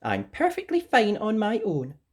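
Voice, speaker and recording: A woman's voice: mid-range voice, huge pitch swings, unhurried, slightly rough, voice pushed up, full character voice. A woman voicing a vain, haughty young storybook princess with a dramatic manner.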